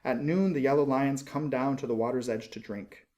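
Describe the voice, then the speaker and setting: A man's voice: medium pitch, normal speed, a little mellow, lightly acted. A man voicing a small, kind-hearted male swallow in a storybook, earnest and polite. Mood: dreamy, excited.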